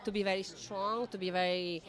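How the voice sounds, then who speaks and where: A woman's voice: mid-range voice, steady tone, moderate pace. A woman with a Bulgarian accent giving earnest, unscripted interview answers at a red carpet event. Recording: crowd chatter behind voice.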